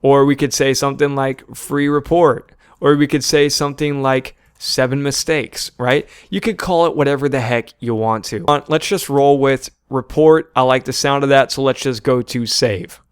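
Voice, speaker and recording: A man's voice: medium pitch, steady tone, moderate pace, few pauses, smooth, dry recording, clean studio sound. A man narrating a screen-share tutorial, talking informally and guiding viewers step by step as the presenter. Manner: informal step-by-step instructing, often addressing viewers as "you guys".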